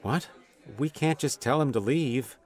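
A man's voice: mid-range voice, a little slow, pitched above the performer's own voice, close to an everyday voice. A man voicing a hesitant office manager in a radio drama. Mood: uneasy.

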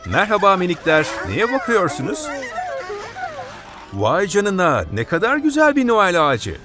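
A man with a high, bouncy voice narrates a children's cartoon, cheering on the vehicles and urging them along. His pitch leaps around with lots of energy, and loud music plays under him throughout. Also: huge pitch swings, a little slow. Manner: encouraging, talks to the truck and little cars.

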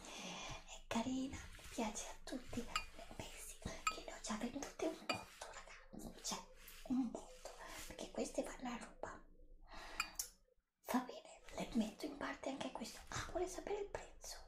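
A middle-aged woman, whispering breathily in an ASMR roleplay as a gentle, attentive clothing shop assistant advising a customer. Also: sits high, expressive, unhurried, reverberant. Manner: gentle, attentive sales patter to an imagined customer.

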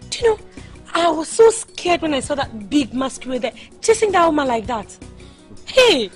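A woman's voice: on the high side, normal speed, faint music behind, natural voice. A woman playing an outspoken, playful young wife in a film drama, with a Nigerian accent and an exclamatory manner. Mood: animated, indignant. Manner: expressive, exclamatory. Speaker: actress.

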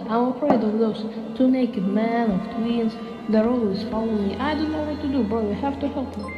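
A woman's voice: fairly high pitch, normal speed, natural voice. A woman voicing a frightened, pleading asylum captive in slangy amateur game voice-over. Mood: scared pleading. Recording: echoey, dull recording with music.

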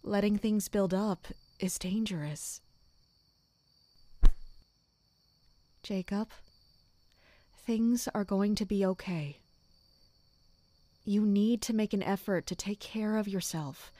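Casting: actress as composed, guarded, caring woman for audio drama, unhurried delivery, mid pitch, dry close sound. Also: no echo, close to an everyday voice. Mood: gentle, concerned. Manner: calm, measured, gentle. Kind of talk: audio drama acting with first-person narration.

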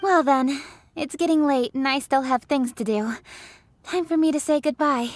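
A woman's voice: high-pitched, normal speed, close to an everyday voice. An actress voicing a composed young noblewoman in a video game, polite and formal. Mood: gracious.

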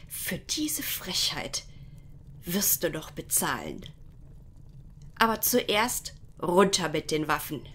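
A woman's voice: lowish voice, big pitch swings, takes it slowly, long pauses, fairly bright tone, slightly rough, full character voice. A woman playing a haughty, menacing villainess who schemes and gloats in a parody detective audio drama. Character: scheming, gloating villainess holding heroes at gunpoint.

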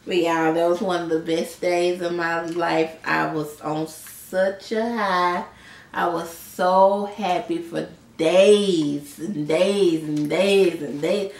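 Slow-talking woman, roomy sound. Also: fairly low pitch. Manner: animated storytelling, chatty, acts out quoted lines. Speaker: vlogger, channel host. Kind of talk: casual storytime chat. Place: living room couch, indoors. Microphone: camera a little way off. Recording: roomy sound, distant camera mic.

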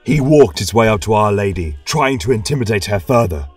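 A man's voice: lowish voice, lively intonation, brisk, a little mellow, husky, no echo, distant mic, faint music behind. A man narrating a scripted tale as a religious chronicler, in a solemn, reverent, theatrical style. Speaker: storyteller and podcast host.

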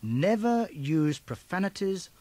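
A man narrating an instructional tape from a script in a clear, emphatic, instructive way. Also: high voice, sing-song, slow pace, darkish tone.

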